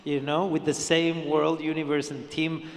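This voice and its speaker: A man with a Mexican accent on a convention panel, talking in a halting, enthusiastic way. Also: on the high side, level tone. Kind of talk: panel discussion. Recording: reverberant hall.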